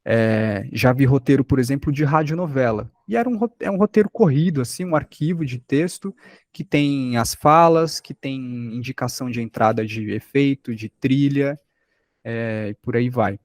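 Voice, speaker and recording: A man's voice: mid-range voice, expressive, moderate pace, darkish tone, no room sound. A Brazilian man giving an informal lesson as a workshop instructor, explaining by example with rhetorical questions and check-ins.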